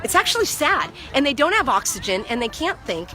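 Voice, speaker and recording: A woman's voice: high-pitched, very animated, brisk, a crowd in the background. A woman vlogging outdoors at a market into her phone, speaking with passion and lecturing, often repeating herself. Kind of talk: outdoor phone vlog, heated argument. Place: outdoor market parking lot. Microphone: phone held by the speaker.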